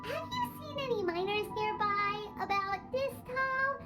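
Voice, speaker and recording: A woman voicing a tiny, eager, cheeky fairy companion with a squeaky childlike voice in a video game. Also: a little slow. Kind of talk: video game character dialogue. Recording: game capture with loud music.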